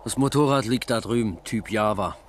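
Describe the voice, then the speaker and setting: Actor as sober police lieutenant, noisy ambience. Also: male voice, fairly low pitch, normal speed, natural voice. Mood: matter-of-fact. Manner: matter-of-fact, terse. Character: sober, sceptical police lieutenant, the captain's colleague. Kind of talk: German radio crime drama acting. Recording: clear ambient noise.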